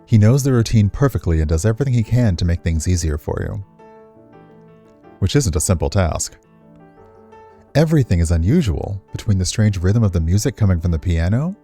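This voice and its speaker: A man's voice: deep voice, warm tone. A man reading visual novel text aloud expressively as a game streamer, slipping into casual commentary.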